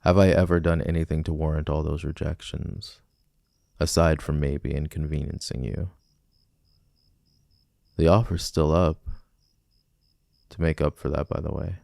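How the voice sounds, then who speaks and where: A man's voice: extremely low pitch, steady tone, unhurried, pauses often, a little mellow, no echo, clean studio sound, heavily acted. A man playing a smug, possessive villain who flirts intimately and teasingly with a civilian in a romantic ASMR roleplay. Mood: defensive, pleading. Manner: teasing, smooth-talking, intimate.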